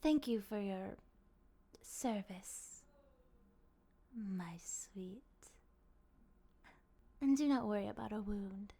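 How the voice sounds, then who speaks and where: Woman acting a slow, breathy, possessive vampire lady. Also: medium pitch, mellow, a little hiss or hum. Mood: tender, sated. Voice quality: breathy, often dropping to a whisper. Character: possessive, courteous, hungry female vampire, soft dominant. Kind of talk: intimate ASMR roleplay acting.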